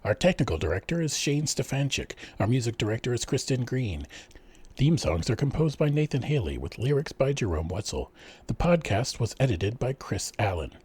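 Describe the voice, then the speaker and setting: A man's voice: mid-range voice, big pitch swings, moderate pace, darkish tone, slightly rough, no echo. A man narrating and announcing a scripted radio serial.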